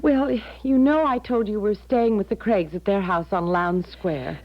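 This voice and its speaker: An actress playing a torn, flustered young American woman in a 1970s radio drama, halting and stammering as she speaks. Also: medium pitch, no room sound, lightly acted. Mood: anxious, confiding. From American Midwest. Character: hesitant, torn young engaged American woman seeking advice. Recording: dull 1970s radio broadcast recording.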